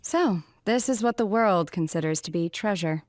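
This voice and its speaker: An actress playing an aloof, otherworldly woman in a video game, speaking deliberately with hesitant pauses. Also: medium pitch, expressive, no room sound, natural voice. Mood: curious, detached. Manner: hesitant, deliberate, pausing mid-thought. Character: aloof, otherworldly woman puzzled by mortal treasure.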